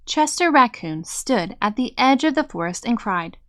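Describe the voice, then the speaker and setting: Female read-aloud host, higher register, expressive storybook narration for young children. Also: normal speed.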